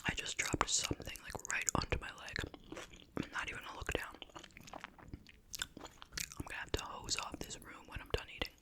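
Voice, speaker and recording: Whispered ASMR host, breathy, bright sibilant edge, casual unhurried chit-chat while eating. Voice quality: whispered, breathy unvoiced delivery.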